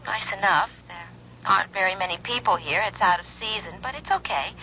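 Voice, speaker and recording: Anxious young wife, bright tense voice, hesitant, phone line, dull radio sound. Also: female voice, mid-range voice, brisk. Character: young wife who has left home, anxious and secretive. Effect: telephone voice. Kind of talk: 1970s radio acting. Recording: dull old radio broadcast, faint hiss.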